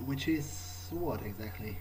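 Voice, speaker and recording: A man's voice: medium pitch, expressive, unhurried, poor audio. A man improvising in character during a video game, conversational and questioning, with hesitant phrasing. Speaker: let's player roleplaying in character.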